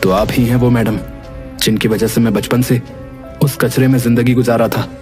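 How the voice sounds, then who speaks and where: A man's voice: mid-range voice, steady tone, normal speed, darkish tone, close to an everyday voice. A man voicing a wounded, indignant young long-lost twin in an emotional story drama with music behind. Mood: bitter.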